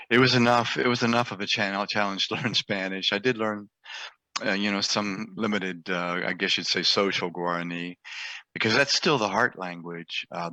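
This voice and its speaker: An older man, an author being interviewed, talking in an unhurried, reflective way with plenty of ums and uhs. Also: deep voice, pushed and bright, no echo, poor audio. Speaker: author guest. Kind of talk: casual interview talk.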